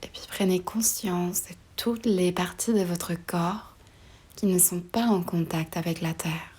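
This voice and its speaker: A woman guiding a relaxation meditation in a soft, breathy voice often near a whisper, slow and soothing, with pauses between short phrases. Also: lowish voice, fairly even intonation. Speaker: yoga nidra meditation guide.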